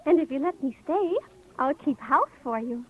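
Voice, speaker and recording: Innocent young princess, very high voice, gentle, earnest, pleading, muffled old radio recording. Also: female voice, expressive, moderate pace. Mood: hopeful pleading. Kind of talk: 1930s radio acting. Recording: dull, narrow 1930s radio recording.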